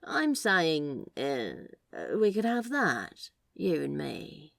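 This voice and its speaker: A woman's voice: low-pitched, huge pitch swings, takes it slowly, gravelly, fairly bright tone, no echo, clean studio sound, heavily acted. Woman acting a drawling, sly flirtatious man. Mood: teasing. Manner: drawling, hesitant, conspiratorial. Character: flirtatious sly man, laid-back office worker playing spy.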